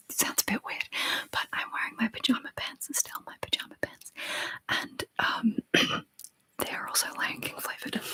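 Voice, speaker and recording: A woman whispers gently through an ASMR live chat, answering viewers' comments as she goes. Her hushed voice sits fairly high and swoops up and down, and she lingers over soft, repeated phrases. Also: breathy edge, poor audio. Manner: reads viewer comments aloud and answers them. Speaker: ASMR livestream host.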